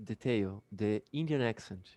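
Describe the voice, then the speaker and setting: A man's voice: lowish voice, a little slow, warm tone, no echo. A Brazilian man with a Brazilian Portuguese accent, teaching an English class casually over a video call and chatting with many fillers.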